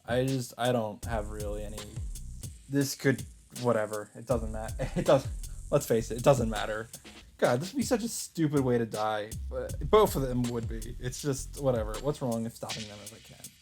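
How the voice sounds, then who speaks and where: Guy doing a let's play, reads the story out, medium pitch, faint game music. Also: moderate pace, a little mellow. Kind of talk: reading game narration aloud with casual asides.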